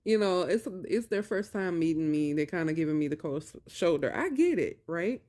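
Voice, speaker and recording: A woman's voice: lowish voice, animated, normal speed, mellow. A woman talking casually to viewers and telling a personal story in colloquial phrasing, emphatic and repeating phrases for stress. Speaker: video creator talking to viewers about narcissistic abuse.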